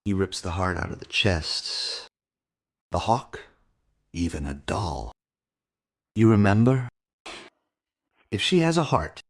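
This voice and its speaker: An actor playing a brooding, persistent crime investigator who narrates and questions in a measured, reflective way in an audio drama. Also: male voice, deep voice, very animated, creaky, breathy edge, no room sound, clean studio sound, exaggerated voice. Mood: probing.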